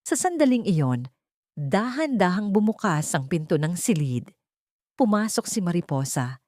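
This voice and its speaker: Female audiobook narrator, slightly low voice, dramatic scripted delivery, very dry recording. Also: big pitch swings, measured pace, darkish tone, no room sound.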